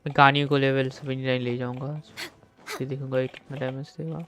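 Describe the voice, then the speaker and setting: Very fast-talking young Indian male streamer. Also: medium pitch, flat delivery, fairly bright tone. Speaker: game live streamer. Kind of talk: casual live-stream commentary.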